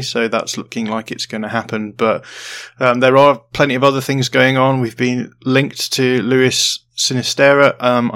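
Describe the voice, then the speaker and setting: Man, podcast host, casual and measured, monotone delivery. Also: mid-range voice. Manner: measured, with fillers.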